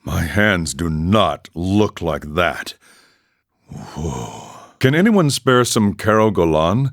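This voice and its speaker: An actor playing an aging, serene and wry warrior monk in a video game, with a deep, gravelly voice and a measured, philosophical delivery. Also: male voice, sing-song, dry recording, clean studio sound, exaggerated voice. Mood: calm, musing. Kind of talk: video game voice lines and battle barks.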